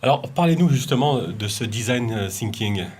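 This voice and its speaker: Co-interviewer, man, husky voice, off-mic and distant. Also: mid-range voice, lively intonation, moderate pace, a little mellow. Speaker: radio interviewer. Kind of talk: studio interview.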